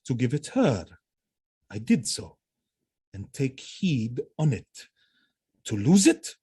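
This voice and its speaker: An actor playing a jealous, vengeful military commander in a Shakespearean audio drama, theatrical and brooding. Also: male voice, medium pitch, husky, heavily acted. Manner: theatrical, brooding, erupting into shouts.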